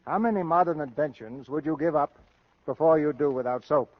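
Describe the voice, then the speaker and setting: Male radio theater host: slightly high voice, formal scripted presentation, dry sound on a dull vintage broadcast recording. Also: a little fast, no room sound.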